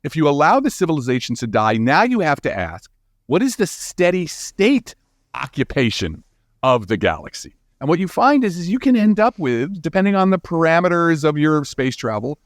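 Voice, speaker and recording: A man's voice: on the high side, no echo, studio quality. A man speaking as a scientist guest in an interview, explaining ideas informally and animatedly, often restarting his phrases. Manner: animated, informal, halting restarts with frequent 'you know'.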